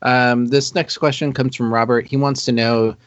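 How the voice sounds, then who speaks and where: A man hosting a casual gaming podcast who reads listener questions aloud, halting and restarting as he goes. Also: mid-range voice, little pitch movement, clean tone, no echo. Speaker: podcast host. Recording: dull, dry recording.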